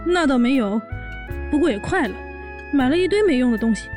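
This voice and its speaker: A woman voicing an excitable young orc wife and daughter, narrating and chatting in a fan audio drama. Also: high voice, fairly quick, music in the background. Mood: chatty, coaxing.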